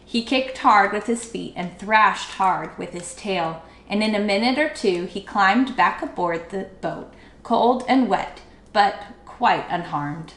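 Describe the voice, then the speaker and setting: A woman reading a children's book aloud expressively, with dramatic, drawn-out emphasis. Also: medium pitch, animated. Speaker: storybook read-aloud teacher. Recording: echoey room.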